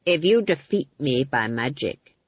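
Mad usurper duke, narrator acting, menacing, defiant, deliberate, husky, slow. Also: mid-range voice, animated, no room sound, highs cut off. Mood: mad, taunting.

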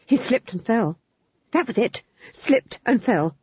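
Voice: mid-range voice, expressive, a little slow, a little mellow, slightly rough, dry recording, voice pushed up. An audiobook reader voicing an anxious, guilt-ridden male duke who babbles nervously, in a dull lo-fi recording.

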